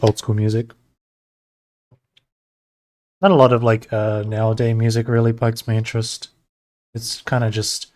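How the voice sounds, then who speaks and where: A man streaming while he draws, chatting casually with viewers and rambling between pauses. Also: mid-range voice, no room sound. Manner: relaxed, rambling, talks to chat between pauses. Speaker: streamer drawing live. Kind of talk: casual chat while drawing.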